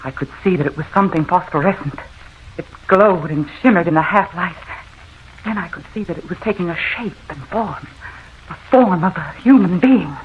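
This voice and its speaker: An actress playing a nervous, haunted wife, telling of her ghostly terror in a halting, confessional way, in old-time radio horror style. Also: lowish voice, lightly acted. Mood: haunted, hushed. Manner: dramatic, halting, confessional first-person narration. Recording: muffled, noisy old radio recording.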